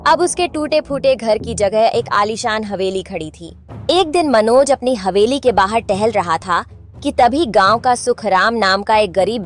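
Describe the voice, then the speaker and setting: A woman narrating a scripted moral tale for children. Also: mid-range voice.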